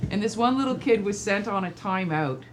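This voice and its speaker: A middle-aged woman casually telling anecdotes in an animated, informal way. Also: mid-range voice, fairly quick, background noise. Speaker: storytelling guest, a regular host's mother.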